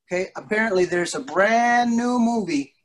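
A middle-aged man with a fairly high voice plays storyteller for young kids. He is theatrical and playful, stretching key words and leaving pauses, speaking as an instructor on a video call. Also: expressive, a little hiss or hum. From an island country. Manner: theatrical, with drawn-out emphasis and pauses. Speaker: martial arts instructor telling stories to children. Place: indoor wood-panelled studio, on a video call.

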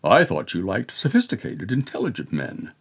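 Arch, husky older man. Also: sits low, wildly swooping pitch, normal speed, heavily acted. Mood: disapproving. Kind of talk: radio drama acting. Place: radio recording studio, standing microphone.

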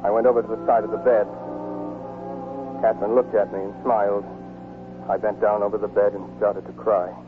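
A man's voice: lowish voice, little pitch movement, brisk, long pauses, over music, natural voice. An actor playing a grieving, devoted man telling of his lover's death in a 1940s radio drama. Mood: somber. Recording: tinny, muffled 1940s radio broadcast.

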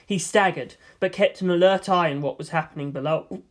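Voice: mid-range voice, fairly quick. A narrator reading a story aloud in a dramatic, scripted style. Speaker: audiobook narrator.

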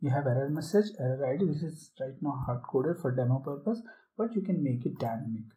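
Man, tech tutorial presenter, mellow dark tone, step-by-step explaining, casual fillers. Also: medium pitch, expressive, a little fast, low-quality recording. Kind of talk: screen-share software tutorial.

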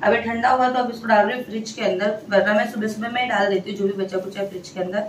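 A woman's voice: mid-range voice, steady tone, quick pace, roomy sound, distant mic, a little hiss or hum. A young woman chatting casually to her viewers in a home vlog, talking through her shopping and cooking at home. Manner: chatty, talks to her baby in a sing-song coaxing way. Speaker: family vlogger. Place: home kitchen.

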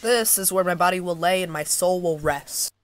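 A woman's voice: lowish voice, normal speed, noisy background, natural voice. A woman playing a gloomy, blunt, easily exasperated man in a scripted cartoon parody. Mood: resigned.

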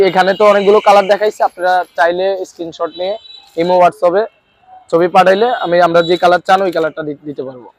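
High-voiced Bangladeshi man, fast sales patter. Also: no room sound, low-quality recording. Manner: repetitive, short pointing phrases and prices. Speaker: shop seller. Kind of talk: casual sales talk.

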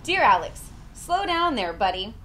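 A woman's voice: on the high side, big pitch swings, measured pace, fairly bright tone, poor audio. A woman in her thirties reading a children's picture book aloud, recorded on a porch from a few feet away. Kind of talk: storybook read-aloud, letters in character. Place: covered porch with patio furniture. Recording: ambient noise on a porch.

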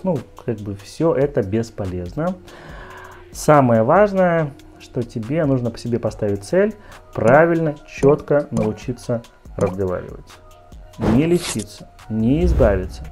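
A man's voice: lowish voice, warm tone. Earnest man coaching straight to camera. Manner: earnest, direct, speaks to the viewer as "you", many fillers. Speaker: speech coach. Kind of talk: talking-head video lesson. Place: home office with shelves, indoors. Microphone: lapel mic.

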